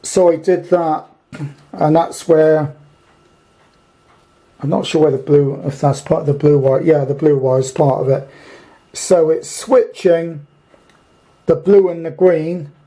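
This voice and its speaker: A man's voice: a little high, slow, long pauses, dark tone. A man giving a casual, rambling, matter-of-fact walkthrough as a hobbyist repairer, unscripted and thinking aloud, recorded indoors on a handheld camera from behind it. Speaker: hobbyist radio repairer presenting his video. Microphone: handheld camera, speaker behind it.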